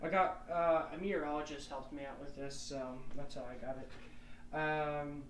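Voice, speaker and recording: A man's voice: on the high side, steady tone, moderate pace, a bit bright, reverberant, recorded from a distance, slight background noise. A young man, a weather forecaster giving casual, rambling live storm commentary on a stream.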